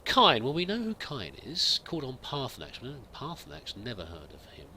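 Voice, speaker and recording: A man's voice: medium pitch, huge pitch swings, a little fast, sharp tone, a little hiss or hum. A man giving in-character let's play commentary, musing aloud and muttering to himself as he goes. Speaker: host of a roleplay let's play.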